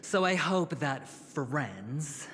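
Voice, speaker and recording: An actor playing a suave, scheming young gambler in a video game, with a smug, teasing, theatrical delivery full of deliberate pauses. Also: male voice, on the high side, animated, a bit bright, exaggerated voice. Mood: amused, calculating. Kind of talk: video game dramatic dialogue. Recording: long reverb, music behind voice. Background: clear background music.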